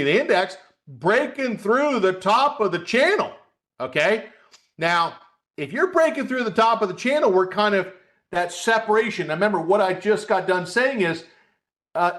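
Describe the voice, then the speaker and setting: A man's voice: sits high, a bit bright. A man hosting a live trading webcast as a coach, talking through market charts in a conversational, folksy way, addressing viewers directly and often checking in with a short tag question. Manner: conversational, repeats "okay?" as a check.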